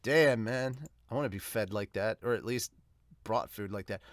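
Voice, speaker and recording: A man's voice: lowish voice, moderate pace, slight background noise. A man giving casual, off-the-cuff reaction commentary as a podcast host and reviewer.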